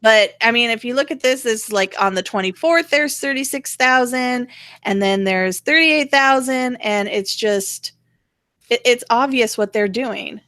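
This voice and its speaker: A woman's voice: on the high side, unhurried, a bit bright, no room sound. A woman host chatting casually about politics in a blunt, sarcastic, mildly profane way. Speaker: talk show host.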